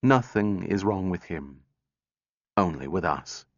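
A man's voice: low-pitched, expressive, slow, exaggerated voice. A man voicing a sardonic, overwrought woman scientist in a storybook reading, sneering and intense. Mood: strained, brittle. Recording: echoey room, dull muffled sound.

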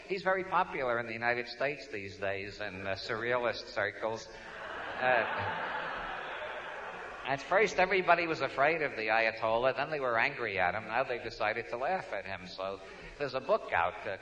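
Bright, wry New York man. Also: medium pitch. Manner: wry, digressive, frequent restarts. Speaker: speaker. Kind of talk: humorous talk to an audience. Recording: dull lo-fi recording.

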